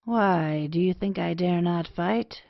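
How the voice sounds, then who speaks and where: A woman playing a proud, wry young gentleman suitor in a period comedy audio play, speaking in dry, archaic phrases. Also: lowish voice, slow pace, vocal fry, dry recording, highs cut off. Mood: indignant.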